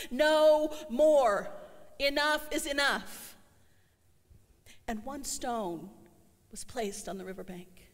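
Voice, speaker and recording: A woman's voice: sits high, fairly bright tone. Woman pastor preaching in a reverberant church. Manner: earnest, slows for emphasis on refrains. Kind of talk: sermon. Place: church sanctuary. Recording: long church hall reverb.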